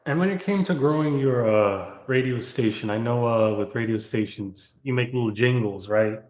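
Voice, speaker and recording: Guy asking questions kinda haltingly, roomy echo, dull lo-fi sound. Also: medium pitch, darkish tone. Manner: halting, searching for words. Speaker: interviewer. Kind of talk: casual interview questions.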